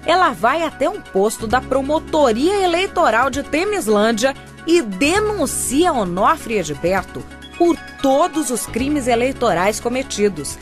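A female narrator with a Brazilian accent reads a scripted radio drama. Her voice sits fairly high, with music clearly playing behind it. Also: big pitch swings, a little slow.

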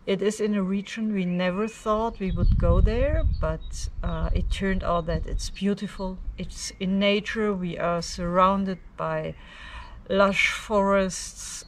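A woman's voice: on the low side, recorded from a distance, a little hiss or hum, poor audio. A woman in her fifties from Switzerland giving an earnest, chatty vlog update outdoors in a garden, filmed on a camera at arm's length. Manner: chatty and earnest, with ums and restarts. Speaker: vlogger.

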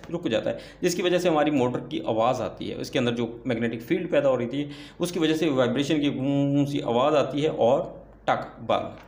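A man teaching repair at a workbench, explaining step by step and asking himself questions before answering them. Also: medium pitch. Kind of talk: hands-on repair tutorial. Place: workbench indoors, off camera. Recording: echoey room.

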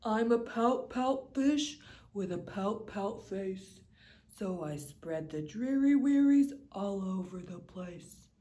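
A woman's voice: medium pitch, animated, slow pace, mellow, pitched below the performer's own voice. A woman voicing a gloomy male fish with a droning, mopey voice in a storybook reading. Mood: glum. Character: glum male pout fish, gloomy and sulky.

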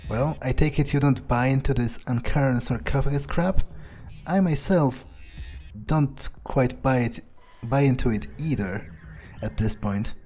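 Man, medium pitch, hesitant, improvised in-character gamer roleplay, faint music. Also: unhurried, mellow. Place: voice-over on game footage, faint game music behind.

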